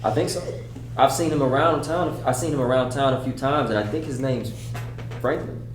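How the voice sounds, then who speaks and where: A man plays an earnest, respectful young man in a drama read aloud. His mid-pitched delivery is halting and casual. He sounds distant in an echoey room with clear noise. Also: lightly acted. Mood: hesitant, earnest. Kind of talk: script read aloud at a table read. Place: roomy indoor table read. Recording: echoey room, distant mic, noisy hum.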